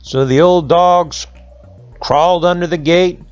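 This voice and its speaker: A man's voice: sits high, steady tone, a little slow, clear, resonant tone, no echo, muffled recording, soft background music. A man telling a folksy tale to children in colloquial dialect. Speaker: storyteller. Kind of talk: folksy oral storytelling for children.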